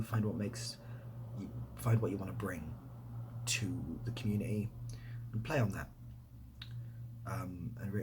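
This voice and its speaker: A young man with an English accent chatting softly in an ASMR style, hesitant and rambling, often dropping to a near-whisper. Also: low voice, noisy background. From near Bath, England. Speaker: ASMR video creator. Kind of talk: softly spoken ASMR casual chat answering questions.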